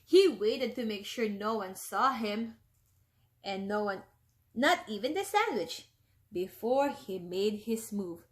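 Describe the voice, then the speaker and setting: A woman's voice: medium pitch, lively intonation, slow. A woman, a teacher, reading a picture book aloud to young children, often stopping to explain words and ask them questions.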